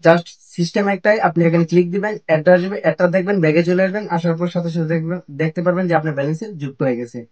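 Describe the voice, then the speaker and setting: Very fast-talking man giving a tutorial. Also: on the high side, steady tone, a little mellow, dull audio. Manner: repetitive, instructional, step-by-step phrasing. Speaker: tech tutorial host. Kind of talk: step-by-step app tutorial voice-over.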